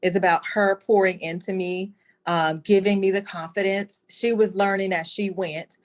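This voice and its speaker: A woman's voice: medium pitch, fairly even intonation, normal speed. A woman speaking as an author and interview guest, telling a personal story in an earnest, reflective way.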